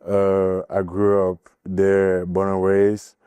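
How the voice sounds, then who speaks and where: A young man from Cameroon, a college basketball player giving a podcast interview, speaking haltingly with many fillers. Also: sits low, completely flat delivery, mellow, smooth, no room sound. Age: young adult. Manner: halting, filler-heavy, nervous.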